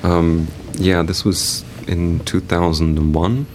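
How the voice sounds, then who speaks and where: A man's voice: low-pitched, steady tone, slow pace, a little mellow, a little hiss or hum. A young man from England with a slight German accent, talking hesitantly with many fillers as the guest in an interview. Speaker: guest artist. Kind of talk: casual interview talk.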